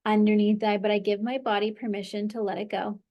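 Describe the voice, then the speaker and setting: A woman's voice: mid-range voice, flat delivery, fast talker, highs cut off. A woman practitioner guiding a client through a tapping session, encouraging her and leading phrases for her to repeat.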